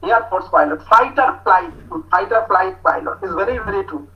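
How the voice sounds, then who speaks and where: A man with an Indian English accent giving an online talk to students, speaking slowly with many hesitations as he reads out their answers. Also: high-pitched, expressive. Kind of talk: online lecture with student chat. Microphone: video call. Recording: muffled video call audio.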